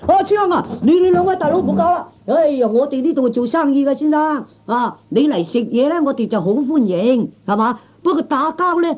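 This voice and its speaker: A man voicing a flustered, polite waiter in a Cantonese comic radio play, pleading quickly and repeating himself. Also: very high-pitched, lively intonation, darkish tone, lo-fi sound, a little hiss or hum. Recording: vintage 1960s radio comedy recording.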